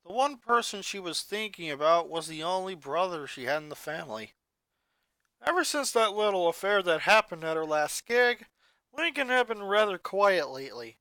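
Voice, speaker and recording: A reader narrating a fan fiction story in an expressive, storybook way. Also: medium pitch, no room sound.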